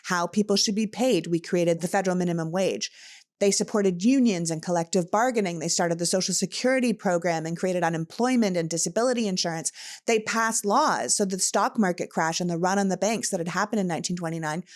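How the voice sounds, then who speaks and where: A woman's voice: on the low side, a little fast. A woman from Canada hosting a podcast, talking politics in a passionate, emphatic way. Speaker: podcast host. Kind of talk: casual political talk.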